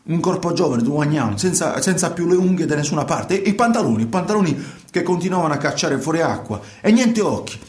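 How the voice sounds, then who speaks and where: An actor playing a troubled working fisherman in a colloquial, halting radio monologue, repeating himself. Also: fairly high pitch, animated, reverberant, close to an everyday voice. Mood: haunted. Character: troubled working fisherman recounting life at sea. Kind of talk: theatrical radio monologue in conversational storytelling.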